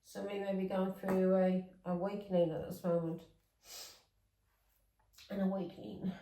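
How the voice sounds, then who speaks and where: A woman's voice: mid-range voice, flat delivery, moderate pace, dark tone, roomy sound, recorded from a distance, poor audio. A woman from England with an English accent with Irish touches, giving a casual tarot reading that rambles, repeats words and drifts into chatty asides. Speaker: tarot reader.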